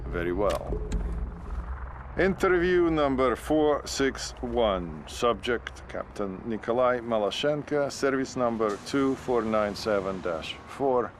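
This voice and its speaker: A man's voice: medium pitch, expressive, slow, slight background noise. A man playing a cool, calculating KGB major, speaking in a formal, probing manner in a video game cutscene.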